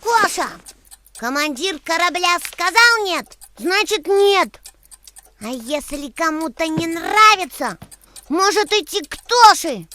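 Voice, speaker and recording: A woman's voice: very high-pitched, lively intonation, slow, sharp tone, a little hiss or hum, exaggerated voice. A woman voicing a bossy, stubborn little boy kitten in a children's cartoon, with a childlike manner. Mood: sulky, stubborn.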